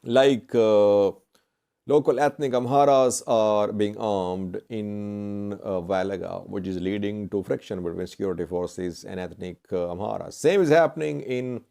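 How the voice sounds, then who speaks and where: A man presenting news commentary to viewers in halting, deliberate phrasing, often correcting himself. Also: on the low side, vocal fry, mellow, smooth. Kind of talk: semi-scripted news commentary.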